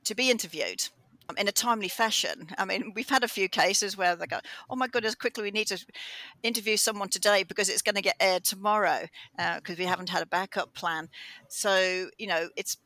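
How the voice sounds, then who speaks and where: A woman with a mixed English and Australian accent, a radio show host chatting in an interview, telling her story in an animated way with quick restarts. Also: mid-range voice, no echo. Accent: mix of English and Australian. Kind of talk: conversational interview answer.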